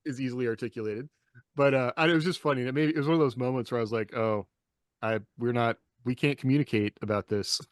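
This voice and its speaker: A man's voice: mid-range voice, fairly even intonation, fast, no echo. Man, podcast host, close dry sound, informal, chatty, anecdotal delivery. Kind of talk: casual podcast chat and introductions.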